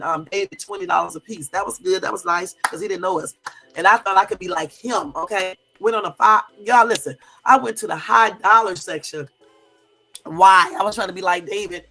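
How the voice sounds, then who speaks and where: A middle-aged woman, hoarse from a cold, hosting a casual livestream and telling stories straight to her viewers. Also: medium pitch, a bit bright. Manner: chatty storyteller, talks straight to viewers. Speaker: YouTube livestream host.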